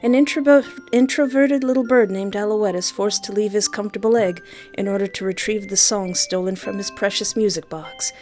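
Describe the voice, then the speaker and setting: A woman reading scripted visual novel narration aloud in an even, storybook-like way, with music behind her. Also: medium pitch, a little fast, lightly acted. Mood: measured.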